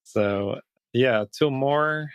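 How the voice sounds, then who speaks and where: A Dutch man, a native Dutch speaker, talking in English as a podcast guest, reflective and thinking aloud with soft laughs. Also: mid-range voice, very slow, clean tone, dry recording. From Netherlands. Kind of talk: casual interview chat.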